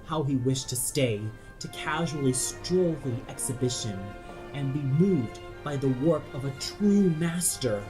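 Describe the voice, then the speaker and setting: A man's voice: mid-range voice, unhurried, music in the background, rough recording. A man narrating an audio play in a dramatic scripted style, solemn and theatrical.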